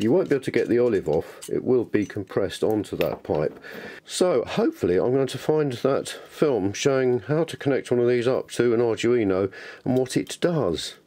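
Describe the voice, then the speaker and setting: A man's voice: mid-range voice, lively intonation, normal speed, warm tone. A man presenting an informal hands-on tutorial, thinking aloud in a chatty, enthusiastic way.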